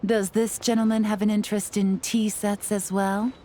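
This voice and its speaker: A woman voicing a poised, sly female spy who talks with smooth, teasing politeness in a video game. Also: medium pitch, flat delivery, moderate pace, warm tone, slight background noise, close to an everyday voice.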